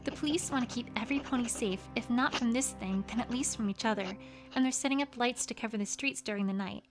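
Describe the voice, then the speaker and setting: A high-voiced young unicorn scholar pony, as a woman plays her, reading her diary with earnest, fretful worry. Music plays clearly behind her in this fan audiobook. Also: quick pace, natural voice. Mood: weary, worried. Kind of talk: journal read aloud as a fan audiobook.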